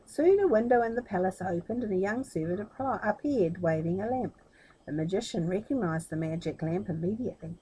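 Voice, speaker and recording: Animated, slightly husky woman storyteller. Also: medium pitch, poor audio. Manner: dramatic, addresses listeners directly. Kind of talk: expressive children's story reading.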